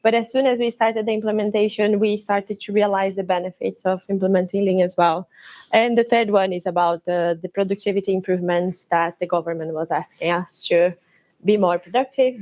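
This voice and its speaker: A woman from Brazil in her thirties with a Brazilian Portuguese accent, presenting at a conference with some halting restarts. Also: on the low side, steady tone, a little mellow, rough recording. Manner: slightly halting, with restarts and fillers.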